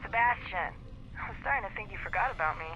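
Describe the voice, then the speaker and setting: A woman's voice: mid-range voice, expressive, fast. A woman playing a tough, eager, teasing soldier in a game, heard through a radio filter. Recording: muffled radio link, loud music.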